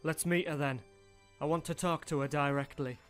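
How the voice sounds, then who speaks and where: A man's voice: on the high side, normal speed, faint music behind, lightly acted. A man playing a cold, sardonic commander in his late twenties, curt and direct, in an audio drama. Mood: cool, demanding. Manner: calm, direct, curt, disdainful. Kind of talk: radio-play acting.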